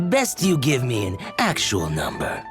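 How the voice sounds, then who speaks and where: Sly merchant with wildly swooping delivery. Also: male voice, medium pitch, huge pitch swings, a little slow. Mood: sly, secretive. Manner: sly, conspiratorial. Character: shady, wily male merchant of dubious wares. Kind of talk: video game voice acting. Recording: loud background music.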